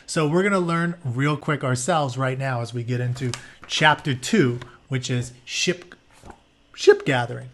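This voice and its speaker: A man's voice: mid-range voice, unhurried. A man teaching through a read-aloud, mixing narration with informal, conversational explanations as he goes. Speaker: teacher reading a book aloud.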